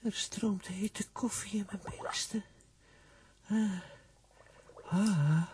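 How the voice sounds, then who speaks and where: A woman voicing a frail, sentimental male talking coffee pot in a children's audio drama, halting and sighing. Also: mid-range voice, background noise. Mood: weak, dazed.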